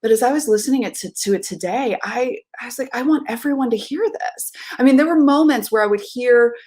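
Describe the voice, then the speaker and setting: A woman's voice: a little high, brisk, a little mellow. A woman in her thirties giving reflective, earnest and emphatic interview answers as a theatre director, on a video call from a room at home. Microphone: video call with headphones.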